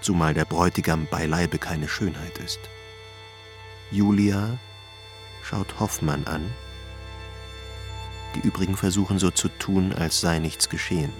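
A man's voice: low voice, measured pace, pauses often, soft background music. A man reading scripted literary narration for a radio drama.

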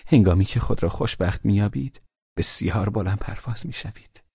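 A man's voice: lowish voice, gravelly, husky, no echo, pitched above the performer's own voice, exaggerated voice. A man putting on a worried kindly lady. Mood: concerned. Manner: soft, raised, partly whispered warnings. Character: worried kindly middle-aged lady, cautioning gently. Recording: dry, slightly dull recording.

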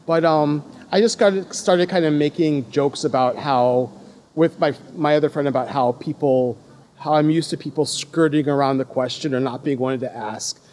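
A man's voice: a little high, moderate pace, a little mellow. A man in his thirties from Los Angeles with an American accent, telling personal stories casually, often laughing and restarting his sentences. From Los Angeles, USA. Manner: laughing, self-deprecating, many restarts.

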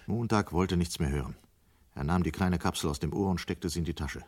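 A man narrating a radio play as a sober storyteller, measured and matter-of-fact. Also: deep voice, a little mellow. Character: sober male storyteller of a dystopian tale.

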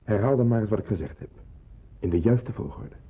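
An actor playing a stern resistance man in a radio play, giving precise, deliberate instructions in a husky voice. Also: on the low side. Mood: stern, instructing. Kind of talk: Dutch radio play acting. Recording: narrow-band old radio play recording.

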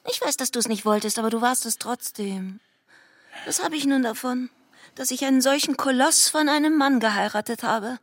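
A young, theatrical society wife in a radio play. Her voice is very high and husky in a roomy space. She gushes affectedly and lingers on repeated phrases, with hurt beneath the sparkle. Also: normal speed, clean studio sound. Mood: needling, reproachful. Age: young adult. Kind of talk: German radio play acting.

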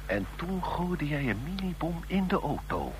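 An actor playing a cool, manipulative secret agent in a radio drama, deliberate, insinuating and coaxing. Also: male voice, a little high, big pitch swings. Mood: coaxing, insistent. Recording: noisy, humming old radio recording.

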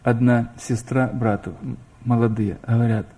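A man's voice: mid-range voice, level tone, a little slow, warm tone. A middle-aged man preaching a sermon, speaking straight to his listeners and asking them questions. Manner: addresses listeners directly with questions, rising emphasis. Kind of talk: sermon to a congregation.

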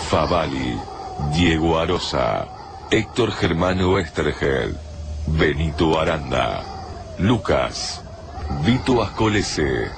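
A man reading radio drama credits as an announcer, formal and deliberate. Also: rumbling low. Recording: radio credits over noisy backdrop.

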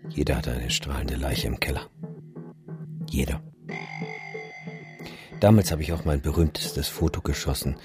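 Very deep-voiced, sombre photographer. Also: male voice, unhurried, pauses often. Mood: wry recollection. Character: sombre, sardonic male photographer recalling a disaster. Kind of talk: radio drama monologue. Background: music.

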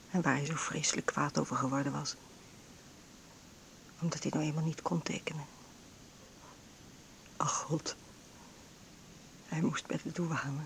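An actress playing a frail, ailing bedridden mother in an intimate radio drama monologue, breathy and often near a whisper, with halting short phrases. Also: low voice, slight background noise. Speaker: actress narrating in a radio play.

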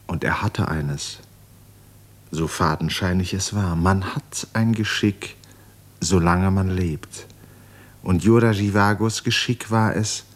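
A male narrator with a very low voice reads scripted literary prose. He tells the story of a radio play.

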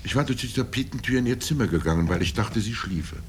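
An older man reading literary first-person prose in a grave, deliberate manner with dramatic pauses. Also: lively intonation. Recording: low rumble and background noise.

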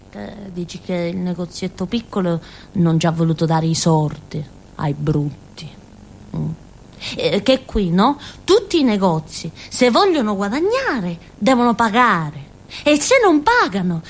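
Childlike Calabrian village simpleton, swooping voice. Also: female voice, medium pitch, a little hiss or hum. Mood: confiding. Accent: southern Italian, Calabrian. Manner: rambling, childlike, with muttered asides and little interjections. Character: Carletta, naive simple-minded village woman, chatty, childlike. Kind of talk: theatrical monologue in a radio drama.

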